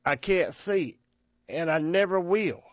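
A man's voice: high voice, animated, slow pace, no room sound. A man voicing a wounded young soldier who is bitter and despairing after learning he is blind. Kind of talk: audio drama acting. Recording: muffled lo-fi recording.

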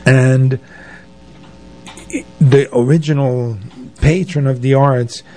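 An older man telling anecdotes as an interview guest, in a halting, conversational way. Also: mid-range voice, fairly even intonation, darkish tone, poor audio. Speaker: interview guest, arts organizer. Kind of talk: conversational reminiscing.

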